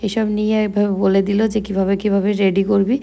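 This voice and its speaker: A woman's voice: medium pitch, level tone, moderate pace, vocal fry, dark tone. A young woman with a West Bengali accent narrating a casual daily vlog in a chatty, explanatory way. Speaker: vlogger.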